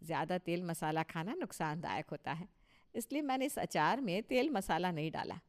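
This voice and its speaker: A woman's voice: lowish voice, lively intonation, normal speed, natural voice. A woman playing a proud, touchy older mother-in-law in a Hindi cartoon story, emphatic and boastful with a scolding edge. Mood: proud, bossy.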